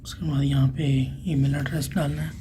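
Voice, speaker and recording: A man's voice: a little high, level tone, a little fast. A man giving a plain, unscripted, step-by-step hands-on tech tutorial as an installer. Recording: clearly noisy room ambience.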